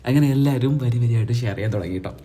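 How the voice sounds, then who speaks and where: Young guy from narrating a vlog, talks fast, bit of english mixed in, light music under. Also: medium pitch. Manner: chatty, teasing, mixes in English phrases. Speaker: vlog host. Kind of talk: casual vlog narration to viewers. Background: background music.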